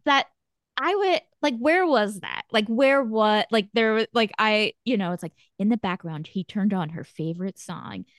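A woman co-hosting a podcast, chatting casually in a rambling, animated way with lots of fillers. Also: medium pitch, moderate pace, no room sound, clean studio sound. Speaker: podcast co-host. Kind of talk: casual chat.

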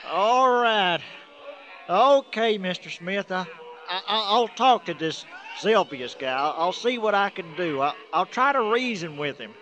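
An actor playing a folksy older Southern manure hauler, humble but plucky, who rambles in country talk in a comic horror audio drama. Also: male voice, sits high, lively intonation, measured pace, fairly bright tone. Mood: reluctant.

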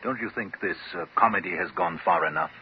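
An actor playing a pompous, defensive psychiatrist, speaking in a formal, measured, hesitant way in a 1950s radio drama. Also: male voice, low-pitched, lightly acted. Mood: stern, defensive. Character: pompous, bearded male psychiatrist, measured, defensive under threat. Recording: dull, hissy old 1950s radio recording.

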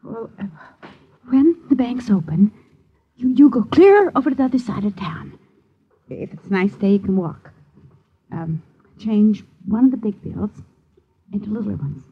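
An actress playing a timid, scheming wife who stammers and repeats herself in uneducated speech, in a 1950s radio drama. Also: female voice, medium pitch, expressive, moderate pace, long pauses, slightly rough. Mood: nervous. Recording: dull, muffled vintage radio recording.

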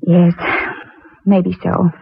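A warm young woman in a 1940s drama, gently wistful. Her voice is husky and comes through a narrow, muffled old broadcast recording. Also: fairly low pitch, completely flat delivery, very slow, no room sound, full character voice. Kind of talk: 1940s radio drama acting.

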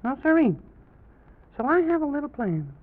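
A man voicing an excitable, bumbling, boastful little president who stammers and repeats his words in an old children's radio story. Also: very high voice, moderate pace, voice pushed up. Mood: determined, proud. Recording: dull, muffled old radio recording.

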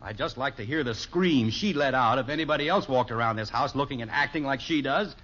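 A man's voice: medium pitch, brisk, fairly bright tone, breathy edge. A man playing an exasperated, stubborn middle-aged father in a 1950s radio sitcom, grumbling with folksy, emphatic sarcasm. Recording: dull 1950s radio broadcast recording.

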